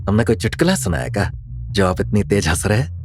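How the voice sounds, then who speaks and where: Man playing an earnest tea seller, animated delivery. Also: lowish voice, fast talker. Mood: polite, firm. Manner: rustic polite Hindi, calm but firm. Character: kind, principled young male tea seller, protective, earnest.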